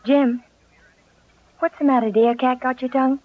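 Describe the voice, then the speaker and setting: A woman playing a placid, dryly witty housewife in an old-time radio comedy, speaking in a deadpan, unruffled way. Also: high-pitched, normal speed, lightly acted. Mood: soothing. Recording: muffled vintage radio with faint noise.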